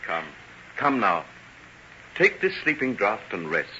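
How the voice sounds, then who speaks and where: An actor playing a stern, controlling gentleman doctor in an old-time radio melodrama, commanding and condescending in his delivery. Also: lowish voice, very animated, measured pace, slightly rough, heavily acted. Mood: soothing, controlling. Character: domineering, stern gentleman doctor feigning soothing concern. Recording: muffled, noisy vintage radio recording.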